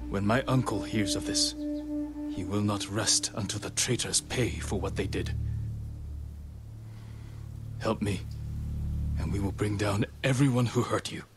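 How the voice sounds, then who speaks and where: An actor playing a solemn, resolute samurai in a video game, speaking in a measured, formal way. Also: male voice, fairly low pitch, sing-song. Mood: resolute, urging. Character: solemn, resolute samurai warrior seeking allies. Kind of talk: video game drama acting. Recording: cinematic game music under dialogue.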